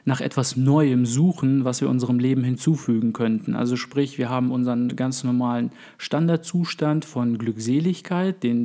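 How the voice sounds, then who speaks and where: Slightly hoarse male podcast host, mellow tone, thoughtful and rambling. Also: mid-range voice. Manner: thoughtful, explains at length with many fillers. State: under the weather, voice a bit hoarse. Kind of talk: casual podcast chat.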